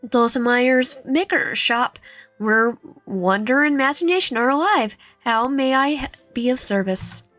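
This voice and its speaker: Woman voicing a polite, halting toy shop clerk. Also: sits high, lively intonation, a bit bright, dry recording, voice pushed up. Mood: polite, helpful. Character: polite, busy young toy shop clerk serving customers. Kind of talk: visual novel character read aloud. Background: background music.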